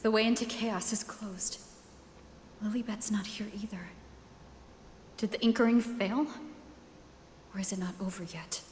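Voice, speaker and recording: Actress as searching young game heroine, hushed, questioning, long reverb, faint noise behind. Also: female voice, medium pitch, fairly even intonation, unhurried, pauses often, natural voice. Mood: puzzled, pensive. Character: puzzled, searching young heroine thinking aloud. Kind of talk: video game voice acting. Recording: echoey hall reverb, faint background noise.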